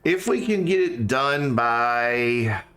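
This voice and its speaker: A man's voice: medium pitch, expressive, slow pace, a bit bright, clear, resonant tone. A tired man with a slightly scratchy throat, streaming a game and thinking aloud in casual chat with many fillers.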